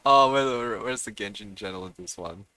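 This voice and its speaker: A man's voice: on the low side, normal speed, bright, forceful tone, clean tone. A man live-streaming a video game with casual, unscripted commentary, muttering to himself and swearing freely.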